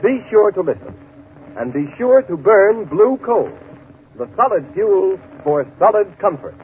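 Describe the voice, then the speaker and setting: A man's voice: high-pitched, big pitch swings, a little slow. A man announcing on 1930s radio in a formal, emphatic scripted sales style. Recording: tinny, noisy 1930s radio broadcast recording.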